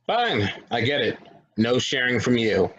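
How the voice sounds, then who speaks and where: A man's voice: medium pitch, sing-song, unhurried, a bit bright, highs cut off. A man at a stage play table reading, playing a cynical, troubled local who talks bluntly and dismissively. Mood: assertive.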